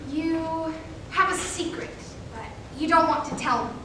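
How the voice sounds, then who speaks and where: A woman playing a gentle, patient male child psychologist in staged reader's theater, measured and coaxing. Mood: probing, gentle. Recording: echoey room, distant mic, faint rumble.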